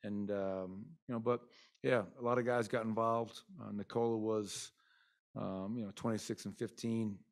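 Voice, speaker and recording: Candid rambling coach at a press conference. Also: male voice, fairly low pitch, fairly even intonation, normal speed. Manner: candid, rambling with many fillers. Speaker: basketball head coach. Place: arena press room. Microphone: desk mic in front.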